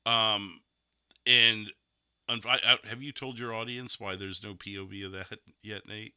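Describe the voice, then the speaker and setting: A man's voice: lowish voice, fairly even intonation, measured pace, sharp tone, smooth, no room sound, clean studio sound. A man who hosts a streaming podcast, chatting casually in a rambling, emphatic way. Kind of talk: casual chat with role-play review.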